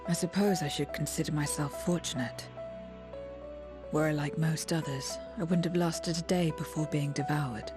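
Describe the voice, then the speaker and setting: Low-voiced, world-weary female survivor played by an actress. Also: fairly even intonation, moderate pace, close to an everyday voice. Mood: grave, brooding. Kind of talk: dramatic video game cutscene acting. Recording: background music under the voice.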